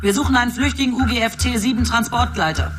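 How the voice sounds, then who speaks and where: An actor playing a stern, exasperated space control commander in a German science fiction radio drama, giving urgent military announcements. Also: male voice, very high-pitched, quick pace, over music. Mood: urgent briefing.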